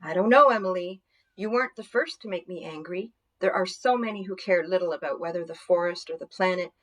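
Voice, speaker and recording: A commanding mother of all nature, read by a woman storyteller. She is stern but forgiving, speaking in a measured way at a middle pitch, as in a children's tale. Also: a bit bright. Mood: stern, angry.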